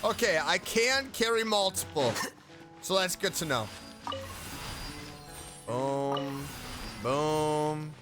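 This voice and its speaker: A man's voice: a little high, slow pace, long pauses. A man giving casual, exclamatory gameplay commentary as a streamer, muttering his reactions. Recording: game music behind the voice. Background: game music.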